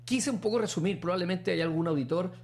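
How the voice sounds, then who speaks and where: A middle-aged man with a Chilean accent, a radio host chatting casually and telling a personal story. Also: quick pace. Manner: storytelling, acts out quotes with animated pitch jumps.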